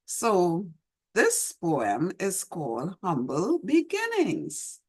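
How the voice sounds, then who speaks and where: A middle-aged woman with a Trinidadian accent, hosting an online show and gently reminiscing as she reads her poem, recorded over a video call from a small room at home. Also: lowish voice, wildly swooping pitch, drawn-out words, husky. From Trinidad and Tobago. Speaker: host. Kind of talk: reflective talk and poetry reading. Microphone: video call webcam.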